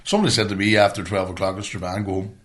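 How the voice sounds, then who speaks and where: A Northern Irish man in his forties with a fairly low voice talks casually as a podcast host. He tells stories in colloquial, sweary language, as if talking to a friend. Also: little pitch movement, rapid-fire, fairly bright tone. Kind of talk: casual chat.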